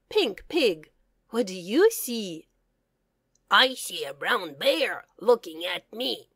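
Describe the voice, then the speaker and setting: Slow sing-song woman reading aloud. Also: mid-range voice, dry recording, studio quality. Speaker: children's book reader. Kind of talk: slow read-aloud for young learners.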